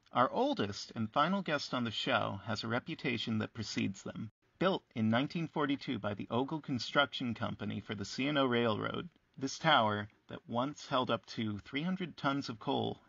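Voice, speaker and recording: Earnest interview host, creaky husky voice, reflective, theatrical, radio drama, echoey muffled recording. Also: male voice, mid-range voice, a bit bright. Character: earnest, wry male host who interviews buildings.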